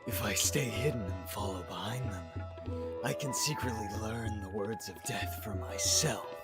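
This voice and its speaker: A man's voice: lowish voice, moderate pace, loud music behind the voice, lightly acted. A man voicing a sneaky, gleeful villain who schemes in secret, in an amateur cartoon dub. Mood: sly, gleeful.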